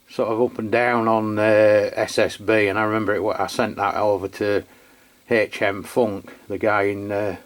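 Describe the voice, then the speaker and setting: Low-voiced man, casual radio chat. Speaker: radio review channel host, CB operator.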